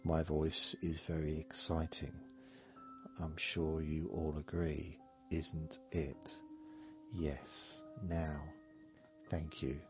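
A man hosting a sleep podcast, talking slowly in a meandering, deadpan, joking way with faint music behind. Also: a bass voice. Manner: slow, meandering, deadpan humor. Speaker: podcast host. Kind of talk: rambling sleepy monologue.

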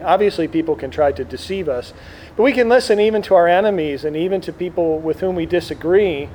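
A man giving an informal religious talk to a gathering as a priest, reflective and halting, speaking up to be heard. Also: sits high, a little mellow, rough recording. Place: indoor room with air conditioning. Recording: clear background air conditioner noise. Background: air conditioner noise.

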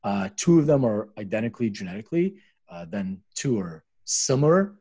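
A man's voice: fairly low pitch, sing-song, fairly quick, dark tone, husky. A middle-aged American man telling his story conversationally as a podcast guest, in an informal, rambling, explanatory way. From Philadelphia, US, born in Lithuania. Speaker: interview guest, medicinal cannabis company founder.

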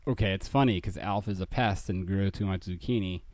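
A man with a very low voice hosts a casual comedic recap podcast. His delivery is wry and meandering, full of little self-corrections. The recording is close and dry, with no room sound. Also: brisk, a little mellow, no echo. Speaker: podcast host.

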